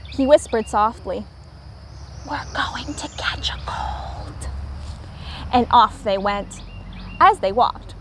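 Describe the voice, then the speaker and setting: Slow, high-voiced woman reading a children's story. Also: a little hiss or hum. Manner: animated storytelling with emphatic, deliberate phrasing. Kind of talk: expressive read-aloud of a picture book for young children.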